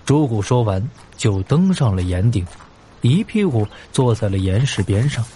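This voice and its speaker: Expressive male mystery narrator. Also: mid-range voice, normal speed, a little hiss or hum. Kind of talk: dramatic scripted narration of a mystery story.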